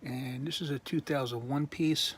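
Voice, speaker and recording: A man slowly showing off his toy collection in a casual video, pausing often with ums and clearing his throat while losing his voice. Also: mid-range voice, fairly even intonation, a little hiss or hum. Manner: slow, halting, many ums and uhs, frequent throat clearing. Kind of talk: casual show-and-tell.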